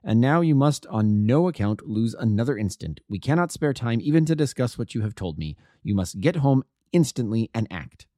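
A man voicing a brisk, commanding detective in a storybook, speaking in urgent, precise imperatives. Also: mid-range voice, sing-song, mellow, no room sound, clean studio sound, natural voice. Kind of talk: audiobook character dialogue.

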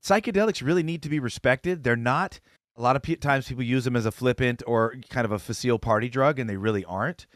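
A man reporting news on a casual talk show, earnest and halting, with many fillers. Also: mid-range voice, no echo, studio quality. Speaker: correspondent. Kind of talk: casual panel chat.

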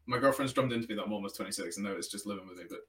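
Host, man in his twenties, fast and jokey, roomy sound off the mic. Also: lowish voice, fairly bright tone, far from the mic. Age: 20s. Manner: quick, jokey, laughs often. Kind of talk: casual interview chat.

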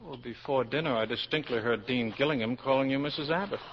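An actor playing an eager, smitten college professor, earnest and pressing, in 1940s radio style. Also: male voice, medium pitch, fast, lightly acted. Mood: tender, surprised. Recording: muffled, noisy old 1940s radio recording.

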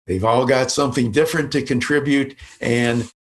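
Guy hosting a podcast, mid-pitched voice, reads a careful intro, stretches out some words. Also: fairly even intonation, moderate pace. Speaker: podcast host. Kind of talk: reading a guest introduction, then interviewing.

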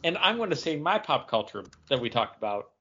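A man's voice: a little high, very animated, fairly quick, bright, forceful tone, breathy edge, dull audio. A man co-hosting a podcast, chatting casually with the other hosts. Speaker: podcast co-host. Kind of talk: casual chat.

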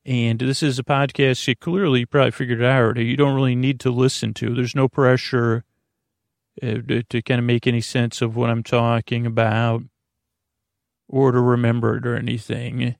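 A man hosting a sleep podcast in a gravelly, creaky voice, with a meandering, halting, whimsical way of talking that keeps interrupting itself. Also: mid-range voice, little pitch movement, no echo, studio quality. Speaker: podcast host. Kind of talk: rambling bedtime sleep-podcast monologue.

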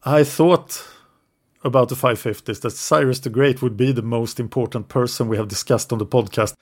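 English-speaking man, podcast co-host, mid-pitched, narrates history from notes with the odd hesitation. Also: steady tone, moderate pace, a little mellow, husky. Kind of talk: casual history podcast storytelling.

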